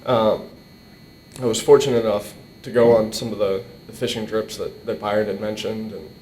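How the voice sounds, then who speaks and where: A young man giving an informal eulogy, emotional and halting as he repeats words, at a stand microphone indoors. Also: level tone, darkish tone. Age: young adult. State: emotional, struggling to keep composure. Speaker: eulogist at a memorial, nephew of the deceased. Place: indoor hall. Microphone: microphone on a boom stand. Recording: roomy echo, faint rumbling noise.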